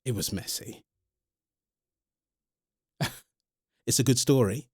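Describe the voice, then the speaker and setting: A man playing a centuries-old vampire boyfriend in an ASMR roleplay, exasperated but protective, speaking haltingly and pleadingly to the listener. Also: mid-range voice, darkish tone, husky, dry recording, clean studio sound. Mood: reassuring, earnest. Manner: hesitant, halting, pleading, addresses listener directly.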